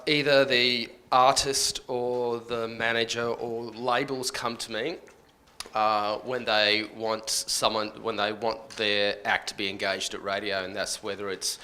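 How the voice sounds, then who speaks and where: A man explaining his job informally on a panel, halting and often rephrasing as he goes. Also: lowish voice, bright, forceful tone, a little hiss or hum. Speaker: radio plugger on a workshop panel.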